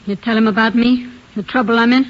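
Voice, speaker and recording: A woman's voice: a little high, steady tone, fast talker, lightly acted. An American actress playing a brash, defensive married woman accused of murder in a 1950s-style radio crime drama, slangy and defiant. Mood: anxious. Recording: dull lo-fi old-time radio recording.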